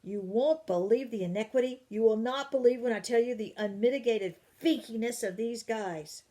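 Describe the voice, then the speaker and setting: Woman putting on a furious blustering dad, sputtering, high and loud. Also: moderate pace, close to an everyday voice. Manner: sputtering, indignant. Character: angry blustering father, grown man.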